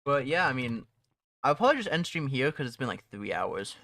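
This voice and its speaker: Young guy streaming from his room, bright voice, dry close mic, rambles and laughs a lot. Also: medium pitch, sing-song, fairly quick, gravelly, no echo. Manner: rambling, laughs often, restarts phrases. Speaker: streamer and mod developer. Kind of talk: casual live-stream chat.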